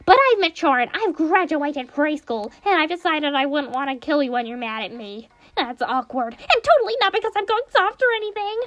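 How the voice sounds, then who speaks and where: A woman voicing a petulant, whiny young man who used to be a robot bear, in a shrill, loud voice. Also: lively intonation, moderate pace, few pauses, slight background noise.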